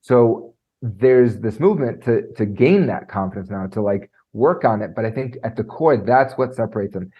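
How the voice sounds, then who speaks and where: A man's voice: normal speed, hardly any pauses, breathy edge. Chatty man with a slightly muffled sound. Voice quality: trained high singing voice. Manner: animated, lots of fillers like "like" and "right?". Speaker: content and presentation skills coach. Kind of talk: conversational podcast interview.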